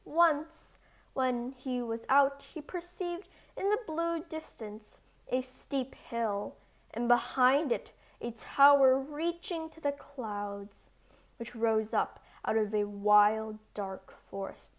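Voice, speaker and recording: A woman's voice: on the high side, slow. A woman storyteller narrating a scripted fairy tale for children.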